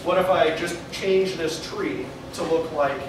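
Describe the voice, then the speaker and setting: A young man giving a meetup talk at a whiteboard, animated and laughing often as he thinks aloud and takes questions. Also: fairly high pitch, measured pace. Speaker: meetup speaker. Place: meeting room with an audience. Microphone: far camera mic. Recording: echoey room from far off, noisy.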